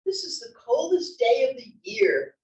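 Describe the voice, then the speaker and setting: A woman's voice: high voice, expressive, unhurried, a little mellow. A woman reading the part of a stylish, teasing, affectionate wife in her seventies in a comedy table read. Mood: complaining. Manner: wry, teasing. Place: library room reading before an audience. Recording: echoey room, distant mic.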